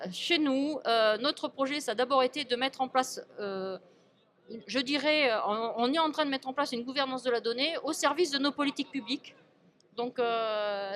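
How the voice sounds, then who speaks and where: A woman speaking as an elected local official in an informal interview, halting and often repeating and restarting her words. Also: a little high, a bit bright. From France.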